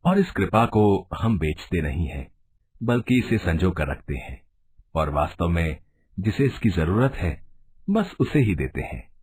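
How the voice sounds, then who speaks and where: Casting: male actor for a commanding village man in a fairy tale, husky and animated in delivery. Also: low voice, a little slow, exaggerated voice. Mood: calm, principled. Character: principled, authoritative village headman and stern villagers. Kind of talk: animated storybook character acting.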